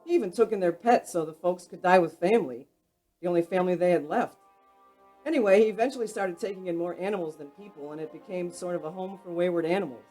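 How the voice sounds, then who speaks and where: An actor voices a property owner in a radio play, reminiscing about a place's past. The voice is medium in pitch and conversational, with music playing behind it. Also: fast talker, warm tone, lightly acted. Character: chatty local property owner telling its history. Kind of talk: radio drama acting.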